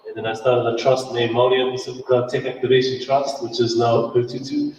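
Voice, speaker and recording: A man's voice: medium pitch, level tone, quick pace, a little mellow, dull audio. A man gives a casual, chatty talk as a guest speaker, joking about himself. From New Zealand. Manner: chatty, laughing, self-mocking. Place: event hall. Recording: distant, echoey hall with some noise.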